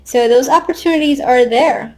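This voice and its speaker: Woman doing a chatty tarot reading, kinda high voice, thinks out loud, wry little asides. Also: normal speed. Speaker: tarot card reader. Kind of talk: casual unscripted tarot reading to viewers.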